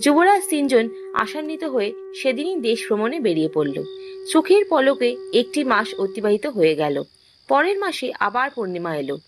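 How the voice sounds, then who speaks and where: A woman's voice: on the high side, very animated, normal speed. A woman narrating a fairy tale from a script as a storyteller, with music behind her. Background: background music.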